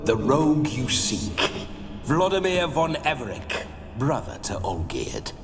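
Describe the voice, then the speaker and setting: A man's voice: medium pitch, big pitch swings, unhurried. An actor playing a roguish, bawdy ghost of a dead nobleman in a video game, with theatrical, swaggering, old-fashioned speech. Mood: wry, boastful. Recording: long reverb, music behind.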